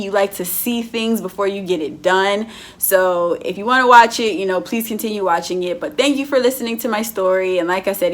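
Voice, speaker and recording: A woman's voice: medium pitch, fairly bright tone. Emphatic young woman vlogger. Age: late 20s. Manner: emphatic, earnest, repeats words for stress. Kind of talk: casual storytime talk to camera.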